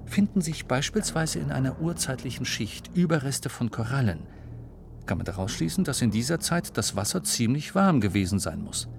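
A man's voice: medium pitch, very animated, moderate pace, vocal fry, noisy background. A man reading scripted narration of a science audiobook for children.

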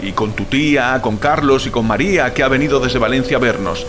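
A man's voice: mid-range voice, fairly quick, noisy background, close to an everyday voice. A male actor with a Spanish accent from Spain playing an alarmed, defiant, sarcastic brother in an audio drama. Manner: agitated, incredulous, sarcastic. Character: alarmed, defiant, sarcastic younger-generation brother, bewildered and foul-mouthed. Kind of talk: Spanish audio fiction acting with inner narration. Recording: dramatic music bed under the voice.

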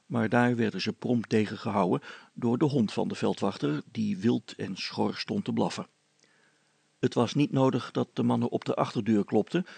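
A man's voice: lowish voice, vocal fry, a little mellow, breathy edge, no echo. A man reading a scripted story aloud as the narrator.

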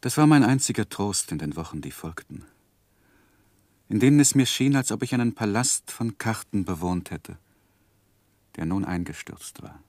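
An actor playing an earnest, lovesick young gentleman who narrates his life in a measured, introspective 1960s German radio drama. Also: lowish voice, lively intonation, no room sound. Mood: wistful. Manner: measured, introspective literary delivery.

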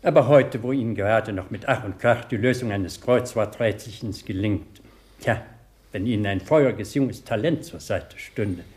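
An actor playing a dry, politely impertinent butler in a radio drama. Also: male voice, fairly low pitch, moderate pace, a little mellow, lightly acted. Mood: deadpan. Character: dry, cheeky elderly butler.

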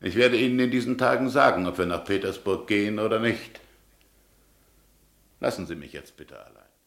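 An actor playing a proud, unbending middle-aged Tsarist governor in a German radio drama, speaking in a formal, emphatic way. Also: male voice, on the low side, normal speed, creaky, fairly bright tone, echoey room. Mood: stern, resolute.

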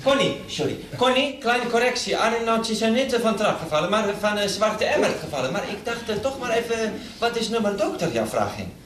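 A clumsy, fussy house servant who stumbles through broken grammar and keeps apologising, his voice pitched high above the other man's. He hesitates over nearly every phrase with little "eh" sounds. The room is roomy and echoing, with a faint hiss underneath. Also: very animated, a little fast, few pauses, fairly bright tone. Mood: fumbling. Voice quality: broken Dutch grammar, hesitant with many "eh" fillers.

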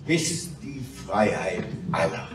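Fervent revolutionary orator, echoey and distant. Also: male voice, sits low, very animated, takes it slowly, faint music behind, heavily acted. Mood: grim, accusing. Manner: declamatory, emphatic. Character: fervent male Paris Commune revolutionary orating to comrades. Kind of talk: radio drama acting, political oratory. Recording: echoey, distant, noisy recording.

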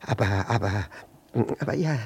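An actor in a German radio play voicing a jealous, evasive husband with a husky voice, stammering, repeating himself and rambling with excessive politeness. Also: male voice, fairly low pitch, animated, normal speed, a little mellow, exaggerated voice. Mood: agitated, evasive. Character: flustered, evasive jealous husband posing as a bachelor.

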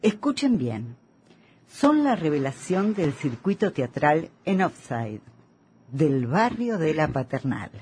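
An actress with an Argentine accent playing a polished, courteous host of a theatre radio programme, with a raspy voice. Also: female voice, sits low, sing-song, slow, darkish tone, slight background noise, exaggerated voice. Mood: formal, presenting. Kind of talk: radio show hosting.

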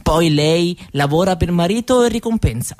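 A woman's voice: fairly low pitch, lively intonation, moderate pace, a little mellow, lightly acted. An actress playing a proud Roma mother from Romania in a conversational stage monologue, with an Eastern European accent and broken grammar. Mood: proud, chatty. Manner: broken foreign-speaker grammar, conversational, rhetorical tags. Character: proud, cheerful Roma woman from Romania, mother, chatting hospitably. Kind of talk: theatrical monologue drawn from interviews.

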